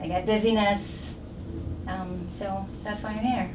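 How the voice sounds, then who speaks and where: A woman from Barbados with a Barbadian accent, speaking as a patient recounting her medical history in halting short phrases. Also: medium pitch, fairly even intonation. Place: indoor chiropractic clinic. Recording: echoey noisy room, distant mic.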